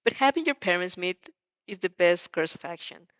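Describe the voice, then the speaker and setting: A woman's voice: on the low side, expressive, normal speed, a bit bright, no room sound, highs cut off. A woman reading a fan fiction story aloud with casual asides, giggly and often stumbling over her words.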